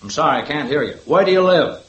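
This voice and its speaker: A brisk, commanding radio police sergeant, higher-pitched voice, echoey old broadcast. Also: male voice, lively intonation, fairly bright tone, muffled recording. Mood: firm, official. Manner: firm, orderly, barks instructions. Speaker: police sergeant running the lineup. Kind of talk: 1950s radio drama acting.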